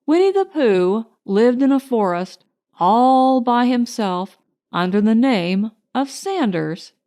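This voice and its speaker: A woman narrating a children's storybook in an unhurried, expressive way. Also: a little high. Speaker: audiobook narrator.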